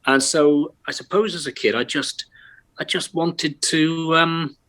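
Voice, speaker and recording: A man's voice: on the high side, steady tone, a little slow, fairly bright tone. A middle-aged man from Wales with a light Welsh accent, a chatty, self-deprecating actor who laughs often, talking remotely from his bedroom at home. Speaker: actor, guest. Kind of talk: casual interview chat.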